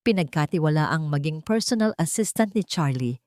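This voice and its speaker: A Filipino woman narrates a dramatic story as an audiobook. Her voice sits low for a woman, and the recording is dry, with very little room around it. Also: animated, a little fast, darkish tone, no room sound.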